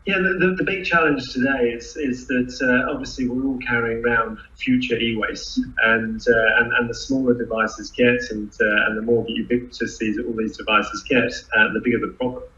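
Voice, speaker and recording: A man who founded a startup answers interview questions, restarting sentences often and filling pauses with "uh" and "um". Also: medium pitch, fairly even intonation, bright, forceful tone. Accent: non-New Zealand, foreign-born. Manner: halting, many restarts and fillers. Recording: echoey, dull lo-fi audio.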